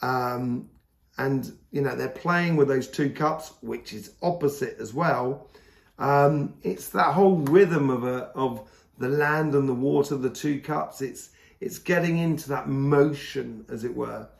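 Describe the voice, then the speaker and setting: Hoarse gravelly-voiced man. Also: medium pitch, a little slow, echoey room. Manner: rambling, meandering with many fillers and restarts. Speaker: tarot reader. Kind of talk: casual monthly tarot reading.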